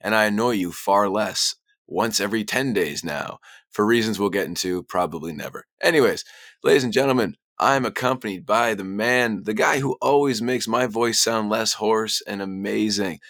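A young man with a hoarse voice, hosting a casual sports podcast with jokey, self-deprecating banter. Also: fairly low pitch, moderate pace, fairly bright tone, no room sound, studio quality. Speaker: podcast host.

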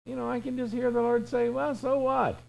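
A man's voice: very high-pitched, little pitch movement, brisk, gravelly, darkish tone, highs cut off. A man in his sixties preaching an informal, conversational sermon in a folksy way, with emphatic repetition and imagined dialogue. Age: 60s.

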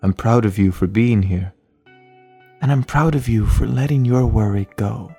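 A man playing a gentle, caring relaxation guide, leading a guided meditation in a calm, soothing, reassuring manner. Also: fairly low pitch, moderate pace, breathy edge, soft background music.

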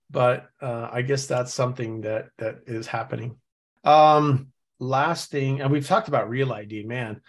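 Guy hosting a podcast, mid pitch, thinks out loud, lots of restarts. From Norway. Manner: thinks aloud, reading and musing with many restarts. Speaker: podcast host. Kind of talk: casual podcast chat. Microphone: remote call setup.

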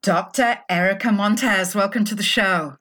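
A woman's voice: medium pitch, moderate pace. A woman hosting a podcast, reading an upbeat scripted intro and then interviewing a guest with enthusiasm. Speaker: podcast host. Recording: music bed under voice.

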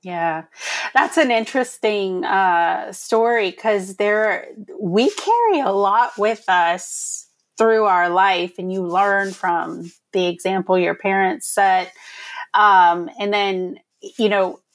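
A woman's voice: mid-range voice, slow. A woman hosting an interview, speaking as an attentive, agreeable listener. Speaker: podcast host. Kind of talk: interview chat.

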